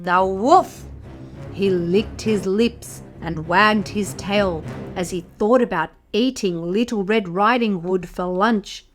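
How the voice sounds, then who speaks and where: A woman reading a picture book aloud to toddlers as a storyteller, expressive and speaking directly to young listeners. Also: mid-range voice, slow, soft background music. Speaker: children's storyteller.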